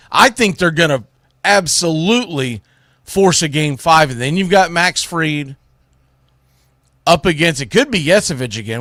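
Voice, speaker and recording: A man's voice: fairly high pitch, fairly bright tone, no echo, studio quality. A man hosting a sports radio show, giving blunt, opinionated, unscripted commentary with emphatic phrasing.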